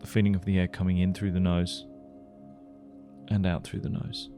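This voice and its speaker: Deep-voiced man guiding a meditation over music. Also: monotone. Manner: gentle, unhurried instructing with pauses. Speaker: meditation guide. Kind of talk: guided meditation.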